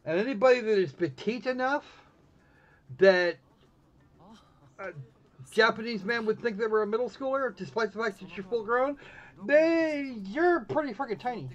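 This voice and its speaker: A man's voice: very high-pitched, normal speed, pauses often. A man giving casual, snarky live commentary as he plays a visual novel, exclaiming and reading bits aloud. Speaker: streamer playing a visual novel. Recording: dull lo-fi microphone sound.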